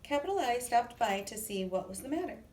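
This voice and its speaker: A woman reading a children's story aloud in an expressive, deliberate way. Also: on the high side. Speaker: storybook reader. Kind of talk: children's read-aloud narration. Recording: echoey room, distant mic, faint noise.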